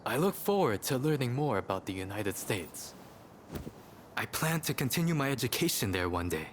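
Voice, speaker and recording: An actor playing a courteous, ambitious gentleman in a video game, formal and composed in manner. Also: mid-range voice, big pitch swings, normal speed, a little hiss or hum, close to an everyday voice. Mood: pleased, determined. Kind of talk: video game cutscene acting.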